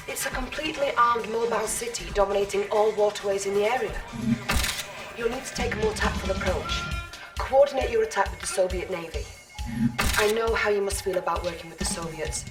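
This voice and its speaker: A woman playing a poised young military lieutenant who gives crisp, confiding briefings in a video game. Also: mid-range voice, brisk, natural voice. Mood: serious, reassuring. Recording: roomy, slightly distant, loud music behind.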